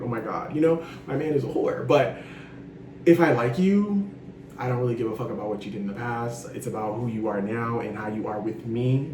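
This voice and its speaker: Sassy young man, roomy and distant. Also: medium pitch. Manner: animated, frank, swears freely, sassy asides. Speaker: vlogger giving advice. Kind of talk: casual advice monologue. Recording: roomy echo, distant from mic, noisy.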